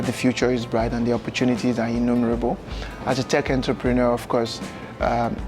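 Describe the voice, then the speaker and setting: A young Nigerian man whose first language is Yoruba, a guest in a casual interview, relaxed and often adding "you know" and "um", seated in a bright lounge. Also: mid-range voice. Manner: relaxed, gestures with hands, fills with "you know" and "um". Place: bright lounge with sofas, indoors.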